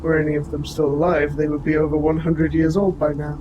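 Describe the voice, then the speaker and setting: A man voicing an old military robot, formal, precise and gently protective, in a scripted audio roleplay addressed to the listener. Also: a little high, level tone, lo-fi sound, background noise, close to an everyday voice. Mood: calm, informative. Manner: formal, precise, measured phrasing. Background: music.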